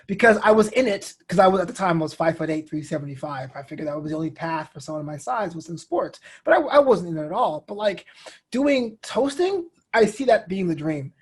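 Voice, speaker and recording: High-pitched, husky American man. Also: brisk. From Brooklyn, United States. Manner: animated storytelling, acts out inner quotes. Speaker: interview guest, comedian and host. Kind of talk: candid conversational interview.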